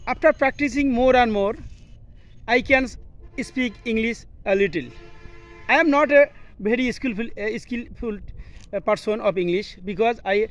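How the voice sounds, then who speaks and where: A man from Bangladesh, a native Bengali speaker with a Bangladeshi accent, giving an instructional vlog outdoors in halting, simple English. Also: extremely high pitch, faint music behind, rough recording. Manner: halting, simple learner's English with short broken phrases. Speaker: English teacher and video host. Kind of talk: instructional vlog, talking to camera. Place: outdoors, open scenic spot. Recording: outdoor noise, faint birds calling.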